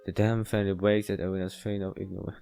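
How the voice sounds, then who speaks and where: A man's voice: low-pitched, flat delivery, fast talker, creaky, dark tone, smooth, soft background music. A man hosting a gaming video, reading a visual novel aloud and commenting on it.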